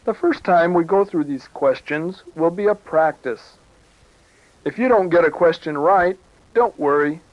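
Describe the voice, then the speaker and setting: A man's voice: on the high side, a little slow, no room sound, close to an everyday voice. A man playing a friendly, encouraging professor who talks simply and reassuringly to children on a 1970s educational cassette. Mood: friendly, upbeat. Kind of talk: scripted children's educational tape. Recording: muffled, dry 1970s cassette tape.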